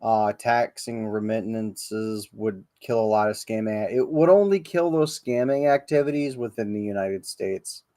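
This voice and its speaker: A man's voice: fairly low pitch, unhurried, hardly any pauses, mellow, dry recording, clean studio sound. A man hosting a casual livestream podcast, rambling and profane, with many ums and restarts. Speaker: podcast host. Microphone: livestream podcast mic.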